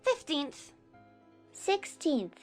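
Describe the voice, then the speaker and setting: A woman playing a small, contrary storybook creature with squeaky, curt speech in an audio drama. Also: huge pitch swings, very slow, faint music behind, full character voice. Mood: defensive.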